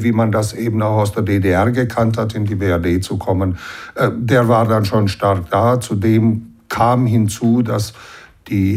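A man in his sixties from the Banat in western Romania, explaining and recollecting as an interview guest in a measured way, often adding a short tag to his sentences. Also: on the low side, darkish tone. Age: 60s.

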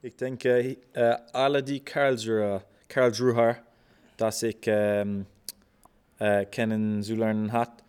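A young man is a guest, answering questions in hesitant learner's German. He speaks very slowly and pauses often to search for words. His voice is smooth and fairly low. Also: level tone, a little mellow. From Ireland. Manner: halting, many fillers, searching for words. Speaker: exchange student guest. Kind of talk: casual interview in German as a learner.

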